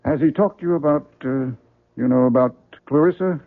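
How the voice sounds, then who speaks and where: A genial, courteous visitor played by a male actor presses tactfully and with hesitation. His voice is fairly high, on a thin, narrow vintage radio recording. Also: fairly quick, close to an everyday voice. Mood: genial, tentative. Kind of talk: old-time radio drama acting. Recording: thin, band-limited old radio recording.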